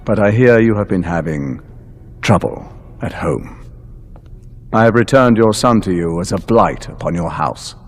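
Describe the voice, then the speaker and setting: An actor playing an ancient, courtly mastermind villain, delivering a dramatic monologue in a formal, measured, grave manner. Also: male voice, low-pitched, expressive. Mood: grave, regretful. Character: ancient, courtly male mastermind villain, six centuries old. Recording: prominent music under the voice.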